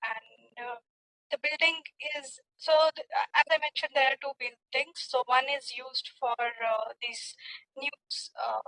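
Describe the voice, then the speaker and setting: A woman with an Indian accent giving unscripted practice exam answers in a hesitant, halting way. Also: sits high, fairly even intonation, sharp tone, low-quality recording. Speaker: English learner, test candidate.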